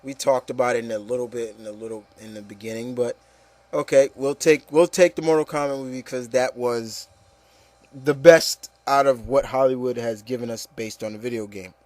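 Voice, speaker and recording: A man's voice: medium pitch, steady tone, normal speed, no room sound. A man giving casual, rambling opinion commentary, repeating phrases and addressing listeners emphatically. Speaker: video commentator.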